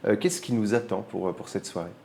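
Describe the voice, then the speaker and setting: A man's voice: fairly low pitch, a little fast, vocal fry, warm tone. A man with an accent from France, putting prepared questions to a guest as a radio interviewer. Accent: French from France.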